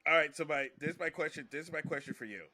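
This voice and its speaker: A man's voice: a little high, very fast, bright, forceful tone, slight background noise. A man who works as a comedian, hosting a casual podcast chat in a rambling, joking, slangy way.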